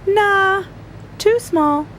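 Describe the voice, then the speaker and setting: Woman playing a high-pitched, confident storybook doctor. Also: extremely slow, fairly bright tone, slight background noise, heavily acted. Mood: confident, eager. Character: needle-happy woman doctor, brisk and confidently picky.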